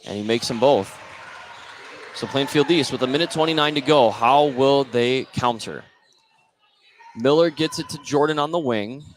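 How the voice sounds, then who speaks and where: A man's voice: mid-range voice, moderate pace, pauses often, a little hiss or hum. An American man doing live radio play-by-play commentary of a basketball game as it unfolds. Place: indoor basketball gym during a game.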